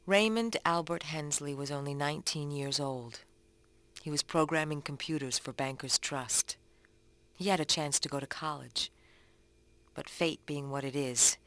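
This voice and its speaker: Man playing a grieving family member, medium pitch, plain and somber memorial monologue. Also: pushed and bright. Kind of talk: dramatic reading of a memorial testimony.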